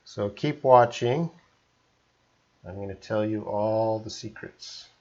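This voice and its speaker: Chatty Canadian English teacher. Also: male voice, lowish voice, takes it slowly, warm tone, muffled recording. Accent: mild Canadian. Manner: chatty, reads viewer comments aloud. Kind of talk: live-streamed English lesson, chatting with viewers.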